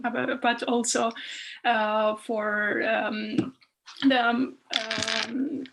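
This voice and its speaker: A woman originally from Albania guides participants through an online training session. She talks with frequent ums and uhs, her voice pitched a little high and held fairly level. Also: low-quality recording. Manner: hesitant, many fillers. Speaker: postdoc guest instructor. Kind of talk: online workshop teaching.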